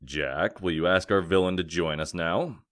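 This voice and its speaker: A man playing a gruff, dry detective cop in a comic dub, speaking in a measured, wry way. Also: deep voice, fairly bright tone, dry recording. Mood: assured, showman-like. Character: gruff male wolf detective cop, dry, theatrical about revealing culprits.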